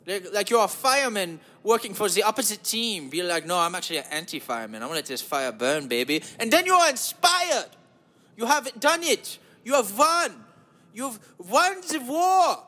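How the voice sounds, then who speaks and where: Dude doing a goofy flirty german guy, high voice, thick silly accent, creepy and campy, rambly podcast bit. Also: fast, few pauses, pushed and bright. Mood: excited, rambling. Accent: exaggerated German.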